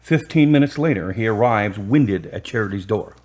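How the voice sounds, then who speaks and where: A woman's voice: a bass voice, animated, moderate pace, a little mellow. A woman narrating a radio drama in a scripted storytelling style.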